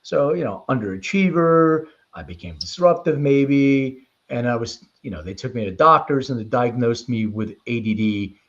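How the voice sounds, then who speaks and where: A man's voice: mid-range voice, lively intonation, moderate pace, hardly any pauses, fairly bright tone. A man in a slightly muffled, lo-fi recording speaks with a mid-range voice as an interview guest. He explains things informally and leans on anecdote, in an easy conversational style. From born in Lithuania, raised in Philadelphia from age six. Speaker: interview guest, precision medicine company leader. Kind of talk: conversational interview answers and personal storytelling.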